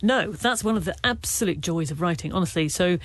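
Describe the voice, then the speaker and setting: A man from Wales being interviewed as an author, speaking with a stammer in halting, earnest, reflective stories full of restarts. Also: high voice, animated, a bit bright, dry recording. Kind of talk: candid conversational interview.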